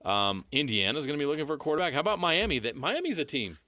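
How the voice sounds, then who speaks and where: A man's voice: on the high side, very animated, fast talker, pushed and bright, no echo. An American man co-hosting sports talk radio, giving casual analysis in an assured, plain-spoken, explanatory way.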